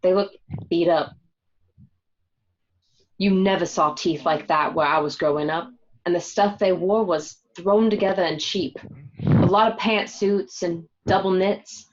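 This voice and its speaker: A woman's voice: lowish voice, fairly even intonation, unhurried, long pauses, slight background noise, close to an everyday voice. A woman acting a candid, uneasy young gangster's wife in a radio play. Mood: frank, disdainful. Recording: slightly dull home recording.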